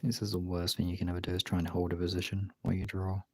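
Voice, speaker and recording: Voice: low voice, monotone, rapid-fire, mellow, no echo, studio quality. A British streamer chatting casually and informally to viewers, thinking aloud and swearing now and then. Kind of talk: casual livestream chat.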